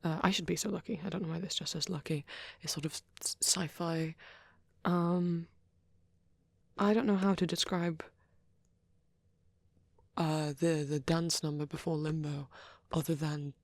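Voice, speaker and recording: A woman with a low, husky voice speaks softly and close to the microphone in a very dry recording. She rambles in an ASMR style, shy and hesitant, often sinking into a breathy half-whisper. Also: steady tone, unhurried, pauses often, dry recording. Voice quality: soft, breathy. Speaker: ASMR roleplay creator. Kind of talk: soft rambling ASMR chat.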